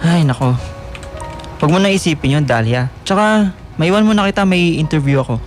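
A man's voice: on the high side, animated, moderate pace, lightly acted. Guy doing an easygoing teen student, kinda hesitant, radio drama with music behind. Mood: evasive. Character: easygoing teenage schoolboy, evasive with his friend.

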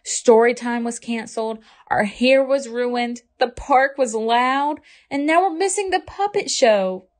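Devoted picture-book mom, read by a woman, emphatic and expressive, slow measured delivery, voice lifting. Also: on the high side, pitched above the performer's own voice, lightly acted. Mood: dejected. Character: devoted, reassuring storybook mother who gets flustered.

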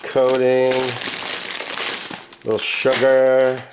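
A man in his fifties, chatting casually to camera from his apartment, relaxed and rambling with plenty of "uh" fillers. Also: mid-range voice, very slow, noisy background. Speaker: vlogger. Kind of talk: casual vlog chat. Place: apartment at home, indoors. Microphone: handheld camera mic, close.

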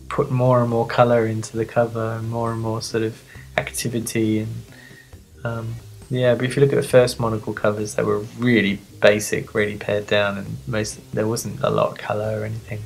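A sniffly magazine reviewer of medium-pitched voice chatting casually off the cuff, rambling, playful and self-deprecating. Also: a little mellow, low-quality recording. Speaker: magazine reviewer and video host.

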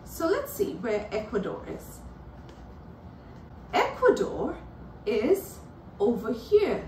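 A woman with a high, lively voice guides young children through a lesson, speaking slowly and pointing things out like a patient teacher. She is recorded from a distance in a living room, so her voice carries a roomy echo. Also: a little hiss or hum. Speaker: children's author and circle time host. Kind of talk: children's lesson, slow and clear. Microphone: far camera.

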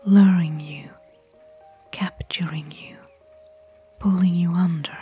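A woman playing a caring, worried fantasy expedition companion in a soft, breathy, intimate ASMR whisper. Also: lowish voice, steady tone, faint music behind, heavily acted. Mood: curious, pondering. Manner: intimate, gentle, slow and hushed. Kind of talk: soft-spoken ASMR roleplay.

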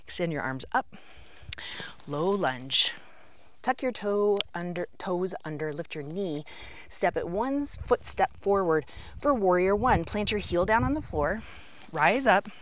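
A middle-aged woman teaching a yoga class, cueing poses and breaths step by step in short, measured phrases. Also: fairly low pitch, animated, slight background noise. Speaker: yoga instructor. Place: outdoors on an open rocky canyon rim.

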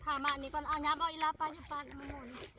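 A high-pitched woman with a northeastern Thai accent hosts a casual rural vlog, chatting freely and often addressing her viewers. The recording is noisy and outdoors, with chickens clucking behind her. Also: little pitch movement, rapid-fire. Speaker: vlog host. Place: outdoors at a rural home and garden.

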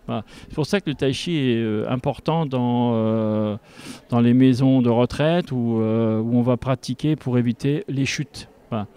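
A man in his fifties speaking as an instructor in an interview, explaining step by step and haltingly into a handheld microphone. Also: medium pitch, dark tone, clean tone. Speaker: tai chi instructor. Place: large school gymnasium with a group behind. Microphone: handheld reporter microphone.

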